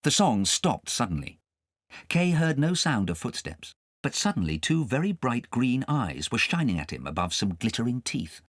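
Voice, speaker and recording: Very animated man reading a storybook. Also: mid-range voice, normal speed, dry recording, clean studio sound. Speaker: audiobook narrator. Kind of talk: scripted storybook narration.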